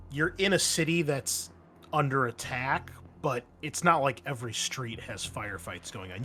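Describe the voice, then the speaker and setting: A man's voice: medium pitch, bright, forceful tone, a little hiss or hum. A man running a tabletop roleplaying game as game master, mixing improvised narration with conversational explanations to his players. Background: music under the voice.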